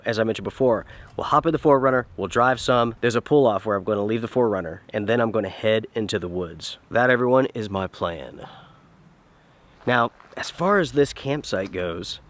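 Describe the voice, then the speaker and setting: A man's voice: on the low side, a little fast. Nasal man vlogging from a campsite. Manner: relaxed, chatty, addresses viewers directly. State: nasal from the flu. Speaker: outdoor vlogger. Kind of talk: casual talk to camera. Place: outdoors at a campsite. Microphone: camera-mounted shotgun mic.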